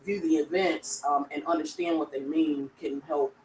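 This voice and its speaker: A woman's voice: sits low, steady tone, normal speed, a little mellow. A woman co-hosting a casual tech livestream, chatty and laughing easily as she explains tools. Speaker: co-host, tech community founder. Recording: echoey distant mic with faint background noise.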